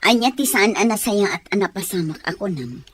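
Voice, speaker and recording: A woman's voice: medium pitch, expressive, a little fast, husky. A woman acting a stern, worried Ilocano mother. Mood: worried, admonishing. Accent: Ilocano Filipino. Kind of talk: radio drama acting.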